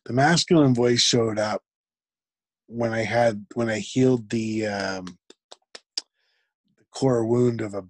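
A man, a guest in an interview, talking reflectively and haltingly, with frequent restarts. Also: mid-range voice, darkish tone, slight background noise. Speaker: podcast guest. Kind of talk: conversational interview.

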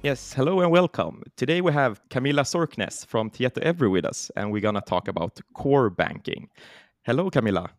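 A man's voice: mid-range voice, lively intonation, moderate pace, no room sound, faint music behind. Scandi-guy hosting a podcast, lots of uh's, dry close mic. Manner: hesitant, many filler words. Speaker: podcast host. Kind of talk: interview podcast.

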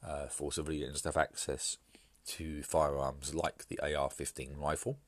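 A man with an extremely deep, low voice hosts his solo podcast, speaking in a measured, halting manner full of "uh"s and restarts. He sounds stuffy and bunged up from hay fever. The recording is close and dry. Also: little pitch movement, no room sound. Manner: measured, hesitant with fillers and restarts. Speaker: podcast host.

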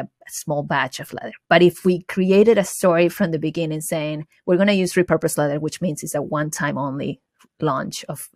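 A woman's voice: sits low, darkish tone, no echo, studio quality. A woman from Venezuela with a Venezuelan accent, a guest talking earnestly about her small business and often restarting words mid-thought. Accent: Venezuelan Spanish. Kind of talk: casual interview chat.

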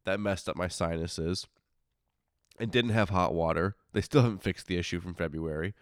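A low-voiced man talks casually as a solo podcast host. His nose is stuffed up from congested sinuses, though the voice stays smooth and clean in a dry, close recording. He is informal and grumbles in asides, often cutting into his own sentences. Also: normal speed, dry recording.

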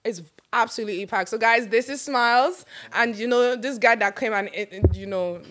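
A young woman, hosting a casual podcast chat, playful, opinionated and quick to laugh. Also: a little high, fairly bright tone. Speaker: podcast host.

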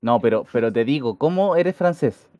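A man's voice: mid-range voice, expressive, moderate pace, dull audio. A man with a Chilean accent bantering about football in slangy, joking talk as a commentator. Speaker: football commentator on a group broadcast.

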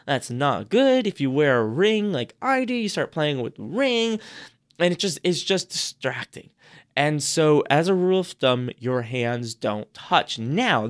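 A man giving casual advice on public speaking on a podcast, chatty and rambling, restarting his sentences often, his voice a little hoarse. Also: medium pitch. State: slightly hoarse. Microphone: podcast microphone.

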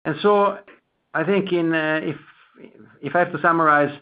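Higher-voiced executive man over a phone line. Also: normal speed. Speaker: company executive. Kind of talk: prepared earnings call answer. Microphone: conference call line. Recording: narrow tinny phone-line conference call.

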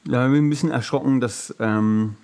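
A man's voice: mid-range voice, a little fast, dark tone, clear, resonant tone. A man co-hosting a podcast in casual comedic chat, with a jokey, teasing way of talking. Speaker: podcast co-host. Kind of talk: casual comedic chat, telling flat jokes.

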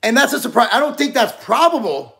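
Man, 40s, high gravelly voice, loose rambling co-host. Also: sing-song, quick pace, bright, forceful tone. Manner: loose, rambling with frequent restarts. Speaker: podcast co-host. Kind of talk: casual chat.

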